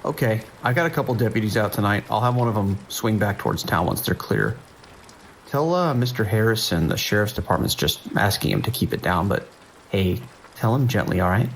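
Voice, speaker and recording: Male actor as night-shift sheriff's deputy, calm, kindly, casual, gravelly, audio drama, faint background noise. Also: fairly low pitch, poor audio. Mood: concerned, gentle. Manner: casual, unhurried, reassuring. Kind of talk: naturalistic audio drama acting.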